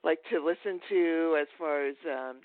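Higher-voiced host, hesitant, on the phone. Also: male voice, normal speed, no echo. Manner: hesitant, rambling. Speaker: podcast host, interviewer. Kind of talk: casual chat. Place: phone call. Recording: tinny narrow phone line.

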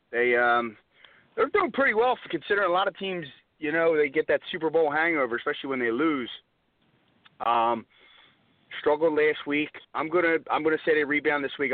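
An American man giving casual sports opinions on a radio panel, halting as he thinks aloud. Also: mid-range voice, dry recording. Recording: dry, phone-like narrowband radio sound.

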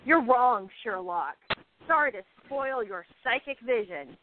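A woman's voice: on the high side, unhurried, background noise. A woman playing a feisty, sarcastic but fond young actress in a radio play, with a sassy delivery. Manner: sassy, expressive. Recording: tinny, band-limited phone-like sound.

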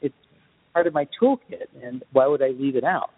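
A man explaining things emphatically in a podcast discussion, speaking as a guest composer with deliberate, lecturing phrasing. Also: medium pitch, husky. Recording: tinny phone-like line, long reverb.

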